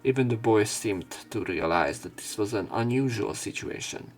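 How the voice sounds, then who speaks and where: A man with a very low voice narrates a visual novel for a let's play. He moves between reading the text and casual, sweary asides of his own. Faint music plays behind him. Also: animated, normal speed.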